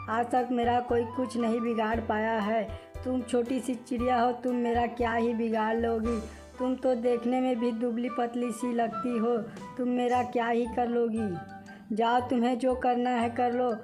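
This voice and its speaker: A lazy, thieving male cartoon mouse, voiced by a woman, brags shamelessly in a slightly high voice. The defiant lines come out in a flat, even tone over faint music. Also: natural voice. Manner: defiant, boastful. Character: fat, lazy, brazen male mouse thief. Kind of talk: Hindi animated moral story dialogue.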